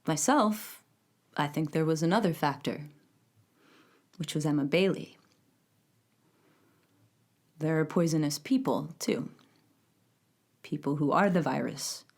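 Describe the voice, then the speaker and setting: Grim, opinionated interviewee female actor: notably deep for a woman, deliberate, pointed, audio drama testimony. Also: gravelly, darkish tone, full character voice.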